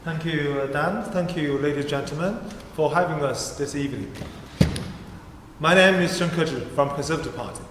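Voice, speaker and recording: A man from China with a Chinese accent, giving an earnest, halting candidate's speech at a hustings in a large church hall, picked up by a room mic. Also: fairly high pitch, noisy background. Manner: earnest, halting non-native phrasing. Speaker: parliamentary candidate. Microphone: far room mic, standing speaker. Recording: roomy church hall echo.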